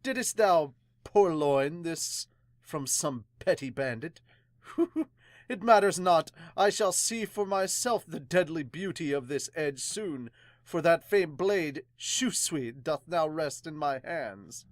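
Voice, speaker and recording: A woman's voice: low voice, expressive, slow, no room sound, clean studio sound. Woman as a pompous, stern old-world magistrate. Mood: stern, scornful. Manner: pompous, stern, archaic phrasing. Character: haughty male magistrate overseeing execution, archaic speech.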